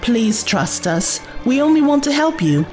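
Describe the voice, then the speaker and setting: Young female companion played by a woman, earnest and coaxing, higher register, soft music behind, audio drama. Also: very animated, unhurried. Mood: gentle, earnest.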